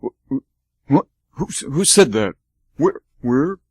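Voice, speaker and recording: A man's voice: mid-range voice, big pitch swings, slow, slightly rough, dry recording, voice pushed up, full character voice. A man voicing a dim, friendly dog in a radio play, talking in a stammering, good-natured way in a dry studio. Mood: dopey.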